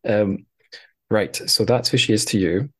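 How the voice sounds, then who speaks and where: A man hosting a casual interview, asking questions in a halting way and repeating words as he goes. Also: lowish voice, a bit bright, slightly rough, rough recording. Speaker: podcast host, interviewer.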